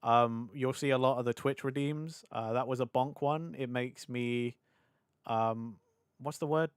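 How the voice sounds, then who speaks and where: Chatty British man, slightly hoarse. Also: medium pitch, steady tone, no echo, studio quality. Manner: chatty, scattered, quick to laugh. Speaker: VTuber streamer. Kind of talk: rambling live-stream chat. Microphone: desk mic on a boom arm.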